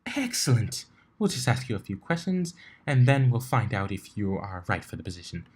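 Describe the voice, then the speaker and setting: A man voicing a poised female unicorn pony with a polite, brisk and formal manner. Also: on the low side, very animated, a little mellow, breathy edge. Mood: poised, businesslike.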